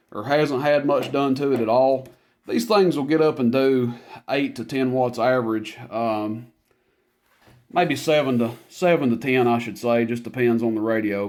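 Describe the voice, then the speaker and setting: A man's voice: medium pitch, darkish tone. A man talking in a folksy, plainspoken, unscripted way as he demonstrates gear he is selling. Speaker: product demonstrator, radio seller. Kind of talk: casual product demo.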